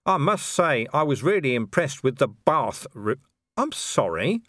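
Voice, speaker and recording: Male narrator doing a blunt, sardonic veteran cop; dry wit, lively delivery, close dry sound. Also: medium pitch, unhurried, no echo, pitched above the performer's own voice. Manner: dry, mock-innocent sarcasm. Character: weary, blunt, sardonic police commander man.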